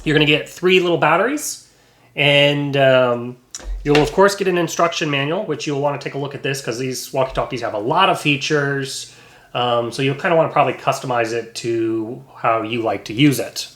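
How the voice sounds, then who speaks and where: A man giving a casual, unscripted product review, explaining features in a hesitant, halting way. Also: mid-range voice, a bit bright. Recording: echoey, roomy sound.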